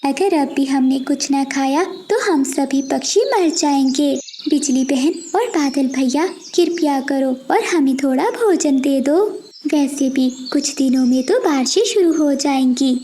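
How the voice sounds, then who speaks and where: Woman as a high, gentle little female bird. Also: a little slow, a little hiss or hum. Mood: earnest. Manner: earnest, pleading. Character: Mano, kind, earnest little female sparrow. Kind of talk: animated children's moral story dialogue.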